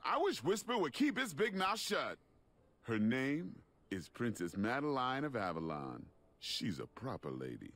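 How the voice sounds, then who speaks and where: An actor playing a big-bellied, blustering strongman knight with a soft side in a fantasy game, gruff and theatrical. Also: medium pitch, sing-song, a little slow, full character voice. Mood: defensive, tender. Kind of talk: animated video game acting.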